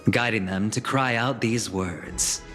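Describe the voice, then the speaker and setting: A man's voice: on the low side, takes it slowly, a bit bright. A man voicing a smug, self-assured gambler in a video game, with a theatrical, taunting delivery. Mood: triumphant, mocking. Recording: long reverb, music underneath.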